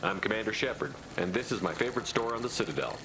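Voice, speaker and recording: A man's voice: lowish voice, expressive, quick pace, noisy background. A man playing a confident, wry military commander in a video game, with a direct, casual and dry way of talking. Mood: confident, persuasive.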